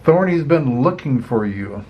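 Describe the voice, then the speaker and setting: A man's voice: mid-range voice, expressive, a little slow, muffled recording, lightly acted. An older man voicing a kind, playful young female ant princess in a children's storybook, with a friendly, girlish manner. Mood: warning.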